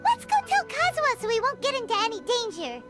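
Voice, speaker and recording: A tiny chirpy fairy girl, very high and squeaky, her pitch bouncing all over. She chatters along, quick to get indignant. Loud game music plays behind her. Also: wildly swooping pitch, heavily acted. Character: small chirpy female fairy companion.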